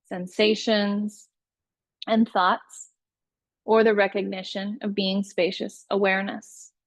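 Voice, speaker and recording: Female meditation guide, very slow and soothing, mid-range pitch, close dry recording. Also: dry recording. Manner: unhurried, soothing instructional delivery with pauses. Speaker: yoga nidra meditation teacher.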